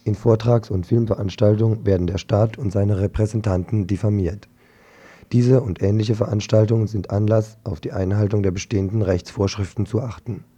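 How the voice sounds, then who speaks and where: A man with a low, husky and very dark voice reads a scripted radio report. His wording is formal and pointed, in the manner of a political news presenter. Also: fairly even intonation, brisk.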